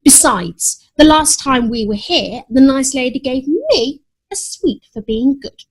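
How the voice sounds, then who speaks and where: A woman's voice: high-pitched, animated, unhurried, husky, exaggerated voice. A woman voicing a dismissive, smug male cat, scoffing like a know-it-all in a children's story.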